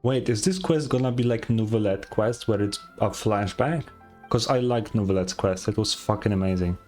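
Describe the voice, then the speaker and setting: A woman's voice: a bass voice, normal speed, music in the background. A woman streamer giving casual reaction commentary on a game, rambling and tired, swearing freely.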